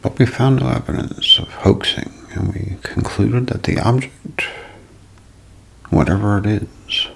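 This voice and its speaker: A man voicing a measured male cryptozoologist in a hushed ASMR reading, breathy, gravelly and deliberate. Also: lowish voice, full character voice, poor audio.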